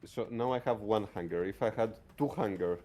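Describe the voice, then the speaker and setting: A man's voice: on the low side, moderate pace, mellow, soft background music. A man playing a tabletop game, asking tentative questions to check he understands the rules. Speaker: player new to the game system.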